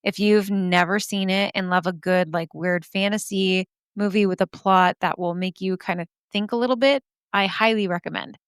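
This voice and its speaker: A hoarse woman in her thirties chats into a close mic, her scratchy voice thick with vocal fry. She rambles happily through self-deprecating asides, the tone smooth underneath the rasp and the sound dry and close. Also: medium pitch, steady tone, moderate pace, no echo. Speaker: podcast host. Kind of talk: chatty podcast intro.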